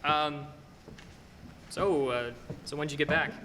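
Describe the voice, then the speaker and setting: A young man acting a restless, defensive small-town man in a stage radio play, stammering and halting as he goes. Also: a little high, fairly even intonation, bright, forceful tone. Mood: awkward, grudging. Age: teenager or young adult. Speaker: high school student actor. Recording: echoey hall with background hiss.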